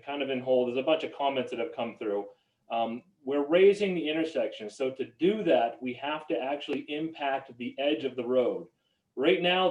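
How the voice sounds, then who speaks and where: A man explaining a road design to a town meeting in a measured way, pausing on frequent ums. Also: on the high side, a little mellow, muffled recording. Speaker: project engineer. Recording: roomy, distant sound.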